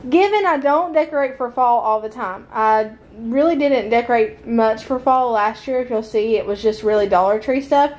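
A woman's voice: a little high, normal speed, few pauses. A young woman with a Southern American accent, a homeschooling mom chatting casually and animatedly in her home vlog, filmed on a phone at arm's length. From southern United States. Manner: chatty, animated, laughs often. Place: car, then living room of a mobile home. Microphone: phone held at arm's length.

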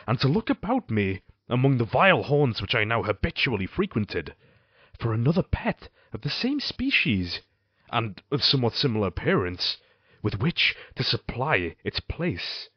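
An actor playing a brooding, cruel married man haunted by guilt, narrating a gothic audio drama in formal, theatrical phrasing with a husky voice. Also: medium pitch, sing-song, normal speed, no echo, lo-fi sound.

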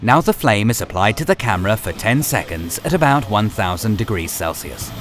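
Male narrator for an instructional film: lower-pitched, measured but well inflected, music underneath. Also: expressive. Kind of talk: scripted narration.

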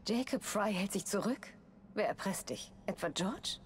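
An actress playing a self-assured young Victorian adventuress in a dramatic game cutscene. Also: female voice, medium pitch, lively intonation, moderate pace, a little hiss or hum, natural voice. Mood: composed, tender. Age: young adult.

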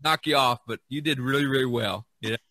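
Bright-voiced, chatty, rambling man. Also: medium pitch, normal speed, dry recording. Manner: rambling, jokey. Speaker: podcast host. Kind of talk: casual, chatty discussion. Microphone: online video call.

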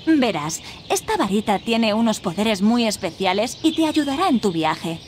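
A kindly magical fairy guide from a video game. Her voice is high and very animated, encouraging and instructive as she explains things. There is clear noise behind her. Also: moderate pace. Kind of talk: scripted game tutorial dialogue.

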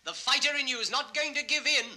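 A very high-voiced, earnest friend. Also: male voice, fast talker, sharp tone, heavily acted. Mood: encouraging. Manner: earnest, encouraging. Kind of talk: 1960s children's record dramatization.

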